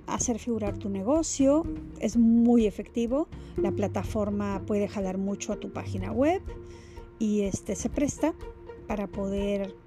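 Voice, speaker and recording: Woman podcast host, chatty and loose, clear music bed underneath. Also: mid-range voice. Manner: chatty, warm thanks with many fillers and restarts. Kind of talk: casual podcast announcements.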